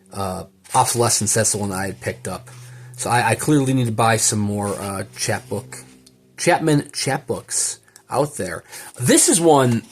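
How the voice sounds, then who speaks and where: A man's voice: fairly low pitch, breathy edge. A man giving a casual unboxing commentary, enthusiastic and rambling as he thinks aloud. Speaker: book collector presenting an unboxing.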